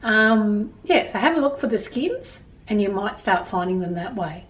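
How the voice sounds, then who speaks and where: Lively Australian woman reading to children. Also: medium pitch, normal speed, recorded from a distance. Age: middle-aged. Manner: expressive, emphatic storytelling for young listeners. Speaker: storytime presenter. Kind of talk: picture-book reading and explaining to children. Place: small indoor room at home with bookshelves.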